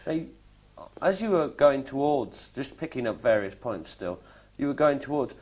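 A man's voice: mid-range voice, lively intonation, moderate pace, a little mellow. A man interviewing a veteran, asking probing follow-up questions and often restarting his sentences. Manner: probing, repeats words when starting questions. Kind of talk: oral history interview questions. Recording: dull lo-fi recording.

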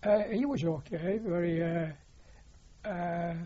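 An older man with a Lancashire accent, reminiscing as a war veteran in an interview, pausing often on fillers. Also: sits high, warm tone, breathy edge. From Lancashire, England. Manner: hesitant, many filler pauses. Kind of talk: oral history reminiscence. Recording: dull lo-fi recording.

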